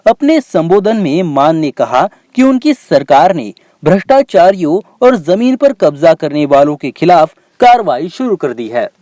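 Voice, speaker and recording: Animated, higher-pitched male news narrator. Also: a little slow, hardly any pauses, no echo. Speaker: news reporter.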